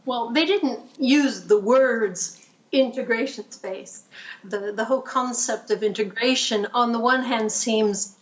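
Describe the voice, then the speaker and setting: A woman's voice: on the high side, unhurried. A woman researcher joining remotely by video call, answering an audience question hesitantly as she searches for her phrasing. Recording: dull lo-fi video call audio.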